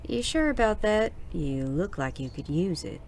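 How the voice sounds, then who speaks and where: A woman's voice: fairly low pitch, lively intonation, moderate pace, vocal fry, darkish tone, slight background noise. A woman playing a tough, teasing Wild West saloonkeeper in an audio drama, with folksy slang and a dry manner. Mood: gruff concern. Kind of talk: audio drama western dialogue.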